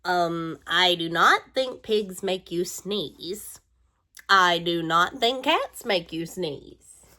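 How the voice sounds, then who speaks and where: A woman voicing a calm, matter-of-fact male cat doctor in a storybook, speaking in a measured, deliberate way. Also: lowish voice, pitched below the performer's own voice, exaggerated voice. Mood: calm diagnosing.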